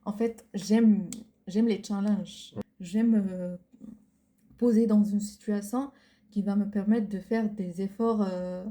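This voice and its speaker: Co-host, woman, Arabic first language: slow, hesitant delivery, mellow tone. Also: mid-range voice, fairly even intonation. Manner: hesitant, searching for words, many fillers. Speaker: podcast co-host. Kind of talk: casual chat.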